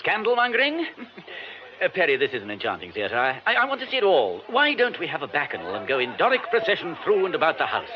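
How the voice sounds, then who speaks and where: Mischievous, florid stage charmer, hugely animated, muffled noisy radio drama. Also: male voice, fairly high pitch, fast talker. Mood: playful, exuberant. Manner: playful, florid. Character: flamboyant, mischievous, camp male theatre reveller. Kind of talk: British radio drama acting. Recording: muffled radio drama recording, clear noise.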